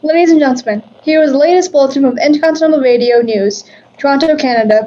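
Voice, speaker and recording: An actress playing a brisk, formal female studio announcer reading bulletins in a 1930s-style radio drama. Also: sits high, mellow, lo-fi sound, a little hiss or hum, lightly acted.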